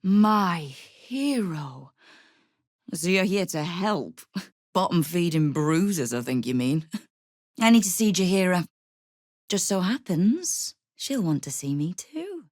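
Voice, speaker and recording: Game role: hard-bitten female guild boss. Low voice, sardonic and in command, very dry studio sound. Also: sing-song, takes it slowly, darkish tone, no echo, exaggerated voice. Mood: scornful, sardonic. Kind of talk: video game character dialogue.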